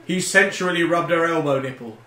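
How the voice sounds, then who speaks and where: Bright-voiced man bantering in a roomy space. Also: fairly high pitch, a little fast. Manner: rambling, riffing, swearing. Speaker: podcast co-host. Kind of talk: casual comic banter. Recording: roomy, echoey group recording.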